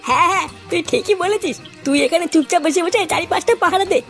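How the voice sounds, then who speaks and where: A performer with a woman's-sounding voice playing a crude, excitable comic rascal in slangy cartoon dialogue. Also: very high-pitched, fast, soft background music. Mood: scheming, impatient.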